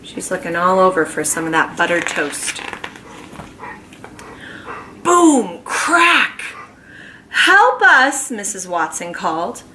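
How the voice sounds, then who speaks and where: A kindergarten teacher reads a picture book aloud slowly and expressively, her pitch swinging widely. Her voice is bright and clear. A faint hum sits behind her. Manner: animated, slow and expressive storytelling. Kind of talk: reading a children's book aloud. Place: at home.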